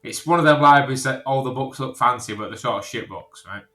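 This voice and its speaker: A man's voice: mid-range voice, quick pace, sharp tone. A man chatting informally as a podcast guest, telling stories in a sweary, casual way. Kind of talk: casual anecdote-telling chat.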